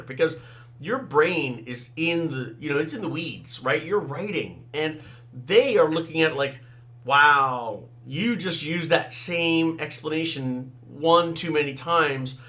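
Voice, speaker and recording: A man's voice: a little high, animated, a little slow, sharp tone. A middle-aged man whose first language is Tamil, hosting a casual solo talk about writing and editing, with frequent fillers and "right?" asides. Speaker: podcast host, author and game designer.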